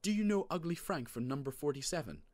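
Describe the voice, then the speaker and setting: A man voicing an excitable, insistent young schoolboy telling tall tales in a melodramatic storybook reading. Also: fairly high pitch, fast talker, no room sound, pitched above the performer's own voice, close to an everyday voice. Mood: indignant, insistent. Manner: emphatic, melodramatic.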